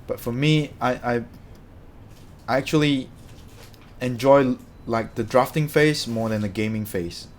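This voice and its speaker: A man's voice: mid-range voice, measured pace, long pauses, a little hiss or hum. A young man from Malaysia chatting loosely with his live-stream viewers, rambling and correcting himself as he talks. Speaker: streamer answering chat. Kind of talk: casual live-stream chat.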